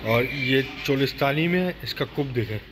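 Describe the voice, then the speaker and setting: A man with a Pakistani accent and a mid-pitched voice gives a casual farm tour. He explains things simply and repeats himself. He is recorded in a cattle shed with clearly audible noise around him. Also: expressive, normal speed.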